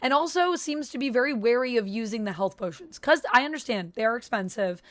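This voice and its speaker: Brief: young woman host, a touch sick, higher voice, dry close sound, chatty enthusiastic reviewer. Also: expressive, fairly quick, no room sound. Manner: chatty, enthusiastic fan talk. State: sick, voice a little weird. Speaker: channel host reviewing a show. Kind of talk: casual review vlog.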